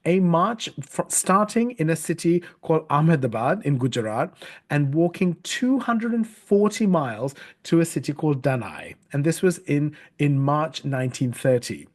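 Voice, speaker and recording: A middle-aged man from Sri Lanka coaches staff on storytelling in a recorded talk. He speaks in an earnest, measured way, often restarting phrases with ums and uhs, and sometimes spells words out letter by letter. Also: on the high side, big pitch swings.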